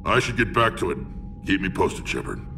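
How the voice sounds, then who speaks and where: An actor playing a gruff, gravelly male alien warrior and hardened veteran who speaks bluntly. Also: deep voice, sing-song, a little fast, heavily acted. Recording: game audio with music bed.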